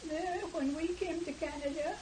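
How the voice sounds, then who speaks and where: A Scottish woman around ninety, slowly and hesitantly recalling her early life in an oral history interview. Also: sits high, fairly even intonation. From Scotland. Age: about 90. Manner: slow, hesitant, reminiscing with fillers. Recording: hissy 1970s tape recording.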